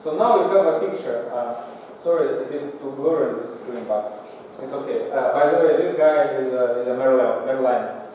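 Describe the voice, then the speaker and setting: A man giving a technical lecture with slides in a lecture room, speaking halting, non-native English. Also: medium pitch, warm tone. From Singapore. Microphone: far from the mouth, room pickup. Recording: echoey hall, distant mic, faint noise.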